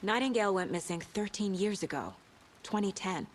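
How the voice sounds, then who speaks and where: An actress playing a composed, inquisitive FBI agent in a video game, measured and pensive. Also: female voice, medium pitch, lively intonation, a little hiss or hum, natural voice. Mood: probing, businesslike. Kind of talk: video game dialogue and brooding inner monologue.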